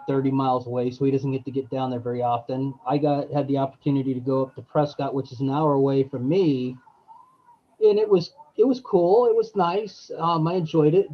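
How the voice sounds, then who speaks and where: Eager man on a dull lo-fi line. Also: medium pitch. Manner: eager storytelling with fillers. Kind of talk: casual chat.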